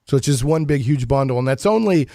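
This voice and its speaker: A man's voice: medium pitch, animated, quick pace, mellow, dry recording, studio quality. A man hosting a talk show, chatting casually in a rambling, joking, mock-serious way. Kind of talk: casual chatty radio banter.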